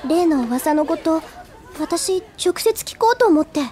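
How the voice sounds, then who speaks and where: Very high-pitched, earnest schoolgirl. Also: animated, measured pace, mellow, a faint crowd. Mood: earnest, worried. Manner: earnest, easily flustered. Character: earnest, flustered teenage schoolgirl. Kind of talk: Japanese anime audio drama acting.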